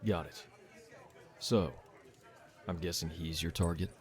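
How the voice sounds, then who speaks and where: A man's voice: low voice, fairly even intonation, takes it slowly. A man acting a shrewd, fatherly old tavern bartender in a fantasy audio drama, wry and knowing. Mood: businesslike, probing. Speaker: voice actor in an audio roleplay.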